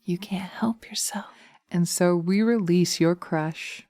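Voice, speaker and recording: A woman playing a seductive, vengeful witch in slow hypnotic audio roleplay, breathy and often whispering, teasing and commanding, close to the mic. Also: on the low side, dark tone, dry recording. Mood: seductive, gloating. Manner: sultry, drawn-out, teasing, commanding. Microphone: close microphone, dry binaural recording.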